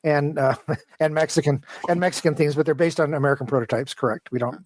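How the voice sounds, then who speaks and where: A man being interviewed, talking casually and at length in a rambling, halting, detailed way as a guest engineer. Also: a little high, steady tone, slight background noise. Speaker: interview guest, model train design engineer.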